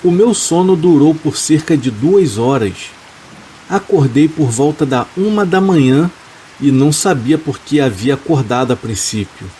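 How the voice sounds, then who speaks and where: A man calmly reading first-person horror stories in a steady, scripted narration. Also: a little high, measured pace. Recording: faint rain and hiss behind the voice. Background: rain sounds.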